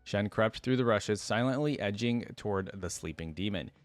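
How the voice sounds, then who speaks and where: A man's voice: fairly low pitch, normal speed, no echo. A man hosting a reaction video, speaking informally as he comments and reads along. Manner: informal, thinking aloud. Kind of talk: casual reaction commentary mixed with reading a story aloud.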